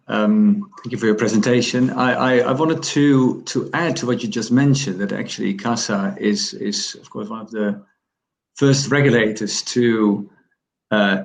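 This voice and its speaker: A man's voice: on the low side, gravelly. A man moderating a panel discussion. He speaks politely and often repeats words as he starts his sentences.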